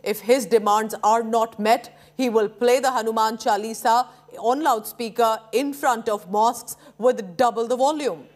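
An Indian woman in her thirties anchors the news. Her voice sits a little high. She presents in a studio with a standard broadcast delivery. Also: normal speed, few pauses.

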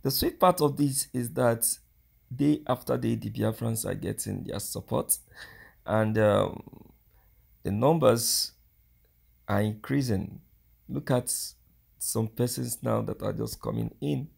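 A man giving casual news commentary to viewers, halting and repeating words with frequent fillers. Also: mid-range voice, gravelly, darkish tone, breathy edge.

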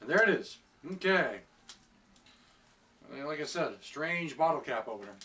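A middle-aged man reviewing a beer at home in a casual, chatty way, often pausing on fillers as he searches for words. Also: on the high side, expressive, distant mic, background noise. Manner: chatty, hesitant with many fillers. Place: small room at home, seated indoors.

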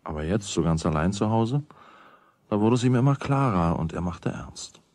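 A man's voice: on the low side, lively intonation, moderate pace, darkish tone, no room sound, lightly acted. A man playing a wry, conversational storyteller, narrating a children's radio play in a musing manner.

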